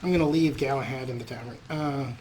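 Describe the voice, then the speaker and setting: A man's voice: mid-range voice, quick pace, darkish tone, rough recording. A man hosting a let's play, giving casual game commentary with wry, tongue-in-cheek asides. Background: game music and character voices.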